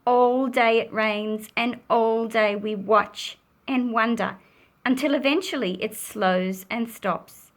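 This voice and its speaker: Slow-paced woman reading a picture book. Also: fairly high pitch. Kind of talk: expressive children's read-aloud storytelling.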